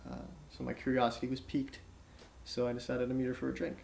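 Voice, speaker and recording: An actor playing a wary but softening son who recounts events hesitantly in naturalistic, interview-like film dialogue. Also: male voice, mid-range voice, completely flat delivery, vocal fry, close to an everyday voice. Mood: matter-of-fact. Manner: hesitant, halting.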